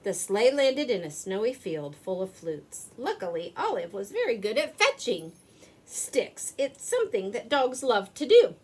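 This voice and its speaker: A woman reads a children's story aloud in a fairly high voice. Her pitch moves up and down in a very lively way, and she draws out words expressively for young listeners. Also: slightly rough. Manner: expressive, with drawn-out words for effect. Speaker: storybook reader. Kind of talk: animated children's read-aloud narration.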